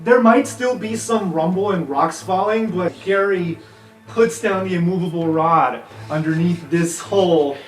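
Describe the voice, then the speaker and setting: A man narrating an improvised tabletop fantasy game as game master, in an excitable, dramatic way. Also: high voice, a little slow. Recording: roomy echo, distant, background music.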